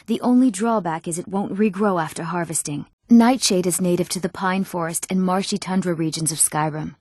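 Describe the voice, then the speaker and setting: An eager young townswoman from a fantasy role-playing game, played by an actress. She speaks earnestly and articulately at a middling pitch, with each line recorded dry and close, free of room sound. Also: mellow, no echo, natural voice. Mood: businesslike, informative. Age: young adult. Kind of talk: scripted fantasy video game dialogue.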